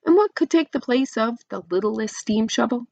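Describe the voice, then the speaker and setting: Lady reading a kids book out loud, super expressive, bit of vocal fry, dry slightly muffled recording. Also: on the high side, normal speed, no room sound. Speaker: teacher reading aloud to children. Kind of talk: expressive children's storybook read-aloud.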